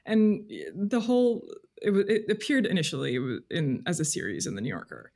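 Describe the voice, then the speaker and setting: A woman speaking as an interview guest, explaining things conversationally in a halting, careful way. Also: mid-range voice, very animated, gravelly, dark tone. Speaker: guest, freelance journalist. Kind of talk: thoughtful conversational interview answers.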